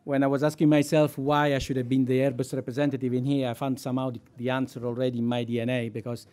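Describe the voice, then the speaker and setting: A man's voice: medium pitch, flat delivery, fast talker, dark tone. A man from Italy with an Italian accent, speaking on a conference panel with wry good humor. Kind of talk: panel talk. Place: conference hall.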